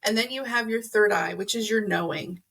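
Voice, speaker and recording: A woman's voice: medium pitch, moderate pace, gravelly, a bit bright, husky. A middle-aged woman explaining things conversationally as an interview guest, earnest and emphatic, acting out imagined remarks. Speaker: podcast guest, energy healer.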